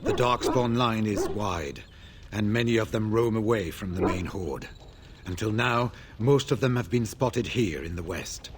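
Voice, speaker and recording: An actor playing a grave veteran warden scout in a fantasy video game, speaking in a formal, measured way. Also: male voice, on the low side, a little hiss or hum, close to an everyday voice.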